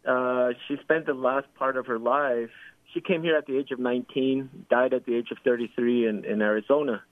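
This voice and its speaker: A man's voice: medium pitch, steady tone, brisk, dry recording. A man, a historian answering questions as a guest on a radio show, thoughtful and hesitant with many restarts. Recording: tinny phone-line sound.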